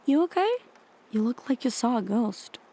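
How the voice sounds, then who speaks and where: A woman's voice: on the high side, expressive, moderate pace, dark tone, low-quality recording. A woman acting a casual, bewildered female partner, loving and wounded, in an audio drama. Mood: casual, puzzled. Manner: casual, puzzled.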